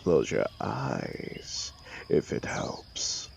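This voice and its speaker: Man playing a very deep, gravelly telepathic villain. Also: huge pitch swings, a little hiss or hum, full character voice. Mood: soothing, reassuring. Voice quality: gravelly, breathy at times. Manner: slow, theatrical, hushed, commanding yet soothing. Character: brooding telepathic male villain turned gentle protector. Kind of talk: audio roleplay drama, intimate comforting monologue.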